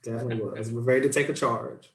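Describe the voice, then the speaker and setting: A man's voice: mid-range voice, steady tone, fast talker. A man guest on a video call telling a story, laid-back and laughing. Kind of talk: casual video-call chat. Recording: echoey room.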